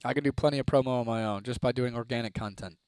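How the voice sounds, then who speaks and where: A man's voice: medium pitch, rapid-fire, darkish tone, smooth, no room sound. Casual swearing streamer guy. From Toledo, Ohio, United States. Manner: laid-back, swears freely, laughs often. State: voice getting hoarse. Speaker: livestreamer. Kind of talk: casual live-stream chat reading comments.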